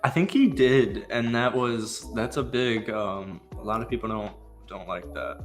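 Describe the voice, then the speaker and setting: A man's voice: fairly low pitch, measured pace, faint music behind. Laid-back man co-hosting a stream. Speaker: co-host of a live basketball show. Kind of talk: casual live-stream chat.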